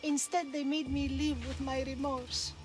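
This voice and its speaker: A woman's voice: sits high, flat delivery, measured pace. An actress playing a remorseful old grandmother and disgraced oracle in a video game, solemn and pleading. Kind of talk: dramatic video game cutscene acting. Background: music.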